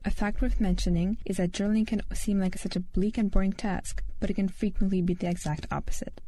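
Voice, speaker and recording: A teenage girl hosts her own podcast in English, her second language, with a Lithuanian accent. Her voice is soft and mellow, with a dark tone. She reads a prepared script in an earnest way. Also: medium pitch, steady tone, fairly quick, a little hiss or hum. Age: teens. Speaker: podcast host. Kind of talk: scripted solo podcast monologue.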